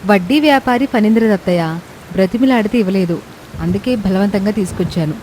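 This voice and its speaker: A woman's voice: mid-range voice, brisk, warm tone, slight background noise, natural voice, rough recording. A woman voicing a kind, brave young daughter-in-law in a story, sincere and respectful. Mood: determined.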